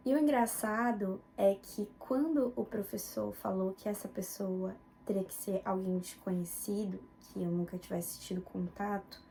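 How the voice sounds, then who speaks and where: A woman's voice: medium pitch, fairly even intonation, moderate pace, vocal fry, few pauses, mellow, a little hiss or hum, rough recording. A young woman with a northern Brazilian accent from Belém, giving a student presentation as a personal account. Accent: northern Brazilian Portuguese, Belém. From Belém, Pará, northern Brazil. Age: young adult.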